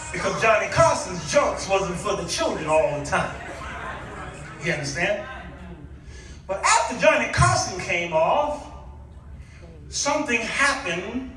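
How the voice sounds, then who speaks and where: A very high-voiced American man preaches in a heavily echoing hall. His delivery is theatrical and exclamatory, with drawn-out emphasis and questions thrown out to the congregation. The pitch swings widely from phrase to phrase. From Chicago, United States. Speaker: guest preacher. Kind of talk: nostalgic sermon to a congregation. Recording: echoey hall, distant microphone.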